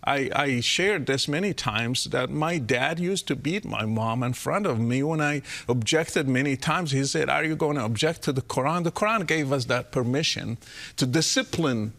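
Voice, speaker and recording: A man's voice: mid-range voice, normal speed, fairly bright tone. A man, an Arabic speaker, explaining his views earnestly as a guest on an interview podcast.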